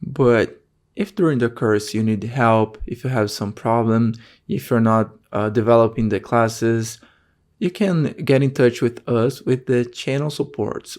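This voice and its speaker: A man's voice: mid-range voice, a little mellow. Man teaching an online course. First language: Portuguese. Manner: keeps checking in with "okay?"; non-native phrasing, frequent fillers. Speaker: course instructor.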